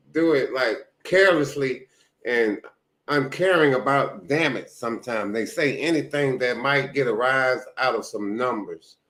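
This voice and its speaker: A podcast host in his sixties speaks in a relaxed, conversational way and sounds appreciative of his guest. His mid-pitched voice carries a noticeable room echo. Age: 60s. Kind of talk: casual interview. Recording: roomy echo.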